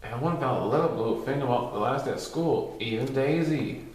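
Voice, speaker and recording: A man's voice: medium pitch, brisk, a little mellow. A man reads a children's picture book aloud, sometimes stumbling over words and going back over them. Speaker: story reader. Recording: very echoey, reverberant room.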